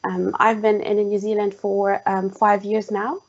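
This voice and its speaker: A woman's voice: medium pitch, level tone, a little slow, vocal fry. Muffled woman with a Jordanian Arabic accent. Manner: hesitant, many "um" fillers. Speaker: tech specialist guest. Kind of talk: online panel conversation. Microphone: video call. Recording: muffled lo-fi online call.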